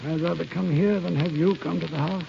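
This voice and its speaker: Stern older gentleman, heavily creaky, high-set male voice, dark tone, curt, unforgiving, old radio drama. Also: fairly even intonation, fairly quick. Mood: cold, curt. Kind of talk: 1930s-40s radio drama acting. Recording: dull, hissy old radio recording.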